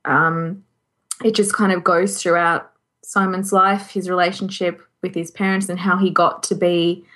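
Woman podcast cohost, bit on the low side, casual recs chat, kinda giggly. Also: little pitch movement, a little slow, a bit bright. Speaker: podcast co-host. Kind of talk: casual chat, giving recommendations.

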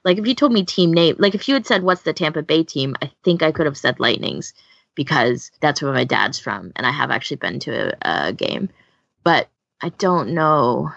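A woman's voice: deep voice, lively intonation, moderate pace, gravelly, a bit bright, dry recording. A woman podcast host chatting casually on a slightly dull recording. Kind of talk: casual chat.

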